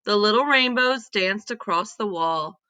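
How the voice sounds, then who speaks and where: A woman reading a children's story aloud in an expressive, deliberate manner. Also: medium pitch, dry recording. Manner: deliberate, animated reading with emphatic exclamations.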